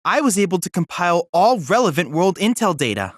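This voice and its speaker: Young android boy researcher, video game dialogue, earnest, precise, polite, animated intonation, close dry sound. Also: medium pitch, fairly quick, no room sound. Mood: pleased, grateful. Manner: formal, precise, courteous, earnest.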